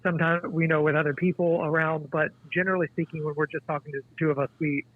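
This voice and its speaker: A man in his forties, a guest author, speaking in a halting, thoughtful way with many restarts. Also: fairly high pitch, flat delivery. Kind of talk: casual interview conversation. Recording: tinny dry phone line.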